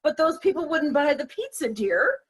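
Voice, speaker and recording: A woman acting a prim, disapproving older mother who gently scolds her grown children in a stage-play table read. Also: high voice, big pitch swings, normal speed.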